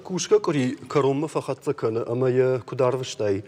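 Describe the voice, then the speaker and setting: A man's voice: mid-range voice, a little fast, a little mellow, in a large hall. A man co-hosting a talk show, presenting news items in a conversational style.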